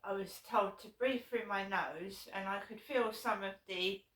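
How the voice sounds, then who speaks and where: Hoarse woman, slow rambling talk. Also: mid-range voice, a bit bright. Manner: rambling, repeats and restarts, frank with swearing. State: hoarse, sore throat. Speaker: vlogger. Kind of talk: casual personal vlog storytelling. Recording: echoey room, voice from a distance.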